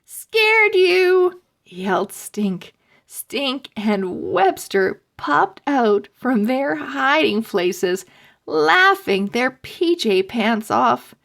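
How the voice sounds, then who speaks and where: A woman reading a children's book aloud in an expressive, deliberate storytelling manner. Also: on the high side, gravelly. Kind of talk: read-aloud storytelling for kids.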